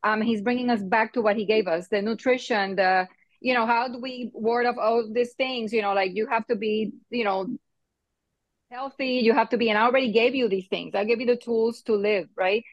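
A woman's voice: a little high, normal speed, no echo, lo-fi sound. A middle-aged woman from Rico, speaking on a phone video call and sharing her mission in a hesitant way, full of ums and "right?". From Puerto Rico. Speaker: nonprofit member calling in. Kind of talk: casual call testimony.

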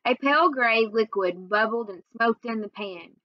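A woman's voice: on the high side, moderate pace, dry recording, muffled recording. A young adult woman narrating a children's book as a read-aloud, recorded indoors on a webcam. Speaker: reader. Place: small room at home, indoors.

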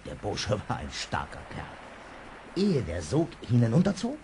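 A man's voice: on the low side, wildly swooping pitch, unhurried, a little mellow. An actor playing a boatman guide who tells spooky tales with dramatic suspense in a German children's audio drama. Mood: ominous, suspenseful. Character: male boatman guide, teasing spooky storyteller. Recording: noisy vintage radio play with ambience.

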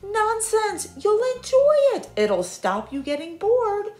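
A woman doing bossy, squeaky storybook parents. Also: sing-song, slow, faint music behind, full character voice. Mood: insistent. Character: bossy, cheery parents of a young knight. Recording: roomy echo.

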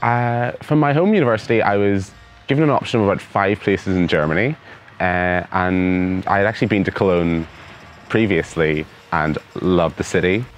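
A man's voice: sits low, normal speed, faint music behind. A young man with a Northern Irish accent, an exchange student answering interview questions enthusiastically in an outdoor courtyard on a lapel mic. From Northern Ireland. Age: young adult. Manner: enthusiastic, repeats words.

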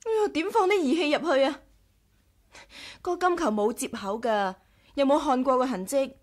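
A quick-witted, caring woman adventurer in a 1980s radio drama. Her voice is high. She speaks Hong Kong Cantonese in a direct, sensible way. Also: lively intonation. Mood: curious.

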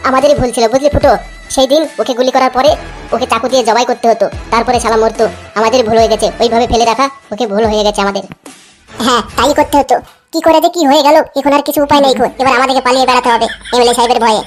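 Woman voicing a rapid-fire, blustering cartoon henchman. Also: a little high, steady tone, soft background music, lightly acted. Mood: worried. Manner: blustering, agitated, repeats phrases. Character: foul-mouthed excitable cartoon gangster henchmen and schemers. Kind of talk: comic cartoon dubbing.

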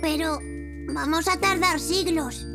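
An actor voicing a curious, eager five-year-old zebra boy in a children's cartoon, earnest and excitable. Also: extremely high pitch, slow, over music, exaggerated voice. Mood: worried. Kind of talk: animated children's cartoon dubbing.

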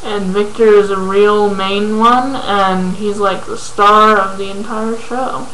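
Young woman vlogger from California, slow halting webcam chat, light echo. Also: medium pitch, fairly even intonation, a bit bright, slight background noise. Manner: hesitant, many ums, halting when reading aloud. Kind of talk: casual chat answering a questionnaire. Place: small bedroom indoors. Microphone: webcam at close range.

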